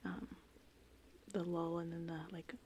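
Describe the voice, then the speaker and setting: A woman rambling casually and informally in an ASMR style, with a soft, breathy voice that often slips into a whisper. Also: fairly low pitch, a little slow, slight background noise. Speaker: ASMR host. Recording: steady rain and thunder ambience. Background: gentle rain and thunderstorm sounds.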